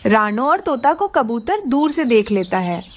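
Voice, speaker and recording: A woman's voice: a little high, sing-song, unhurried. A woman narrating a scripted children's story.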